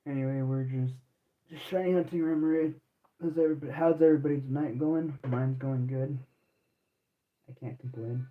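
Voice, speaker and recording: Man, twenties, game streamer, casual rambling chat, slangy, mid-pitched voice, tinny phone-like sound. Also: fairly even intonation, moderate pace. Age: 20s. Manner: rambling and laid-back, heavy on slang and restarts. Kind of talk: casual live-stream chat while playing.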